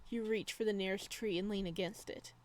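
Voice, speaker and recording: A woman reads visual novel narration aloud for a gaming video. Her voice is mid-range in pitch. Faint noise and game ambience sit underneath. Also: steady tone, a little fast. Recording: some background noise under voice.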